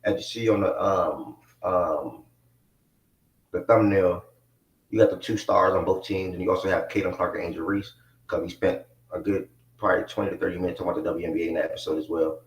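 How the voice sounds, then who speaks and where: A man's voice: low-pitched, steady tone, a little fast. A man in his twenties hosting a live sports talk show in a loose, rambling way with plenty of fillers. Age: 20s. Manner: rambling, laid-back, many fillers. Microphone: mic not connected, picked up from a distance. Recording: echoey room, voice far from mic.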